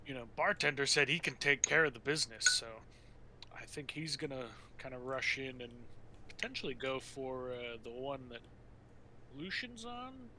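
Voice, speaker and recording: A man playing a tabletop game, chatting casually and haltingly as he thinks aloud and rambles. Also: medium pitch, lively intonation, pushed and bright, slight background noise, poor audio.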